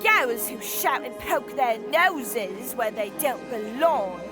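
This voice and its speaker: A woman's voice: a little high, animated, slow. A woman playing a cruel, bullying jailer in an audio drama, scolding and threatening. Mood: menacing. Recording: music under the voice.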